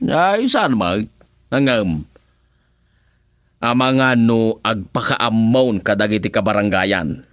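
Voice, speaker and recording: Man acting a cocky, bright-voiced Ilocano councilman. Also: on the low side, animated, takes it slowly, pauses often, no echo, studio quality. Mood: sly, amused. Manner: chuckling, exclamatory banter. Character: cocky, teasing village councilman. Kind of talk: radio comedy-drama acting.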